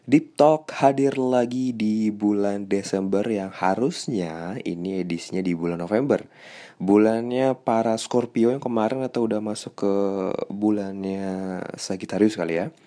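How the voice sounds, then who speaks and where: A man's voice: lowish voice, unhurried, warm tone. A young man with a stuffy nose, hosting a casual, slangy monologue podcast in a confiding tone. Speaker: radio announcer and podcast host.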